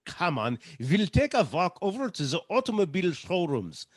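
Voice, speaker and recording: A man's voice: sits high, sing-song, normal speed, no echo, clean studio sound. A man playing a sardonic, teasing musician professor in 1940s radio sitcom style, flowery and mocking. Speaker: amateur theatre performer.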